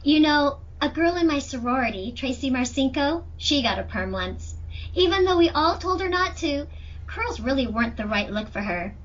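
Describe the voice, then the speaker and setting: A woman's voice: high-pitched, sing-song, moderate pace. A woman acting a young female defense attorney in an amateur courtroom audio drama, with pointed, theatrical questioning. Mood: chatty. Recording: dull lo-fi audio.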